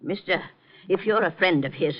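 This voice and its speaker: High-pitched cranky landlord played by a man. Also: moderate pace, natural voice. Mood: indignant, grumbling. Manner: folksy, grumbling, uneducated speech. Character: cranky, indignant male rooming-house keeper. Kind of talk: 1950s radio drama acting. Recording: muffled 1950 radio broadcast recording.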